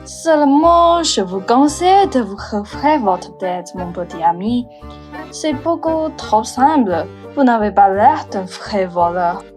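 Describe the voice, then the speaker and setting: A woman's voice: on the high side, animated, takes it slowly, a little mellow, soft background music. A woman playing a brash, scornful socialite in a student radio play. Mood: amused.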